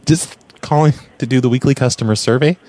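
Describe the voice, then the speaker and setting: A man runs a mock customer survey over the phone with a dry, deadpan delivery. Steady noise runs under his voice. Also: medium pitch, brisk. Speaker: radio host making a prank call. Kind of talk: prank call.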